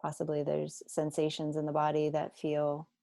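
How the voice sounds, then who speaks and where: A woman guiding a body scan meditation, speaking slowly and deliberately with gentle instructions and long pauses. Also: deep voice, monotone, vocal fry, dry recording, clean studio sound. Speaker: meditation teacher.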